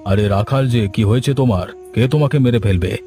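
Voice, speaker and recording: A man playing a stern, sensible village gentleman in a horror cartoon, speaking in a measured, admonishing way. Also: lowish voice, lightly acted. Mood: calm concern. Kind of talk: Bengali horror cartoon dubbing. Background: music.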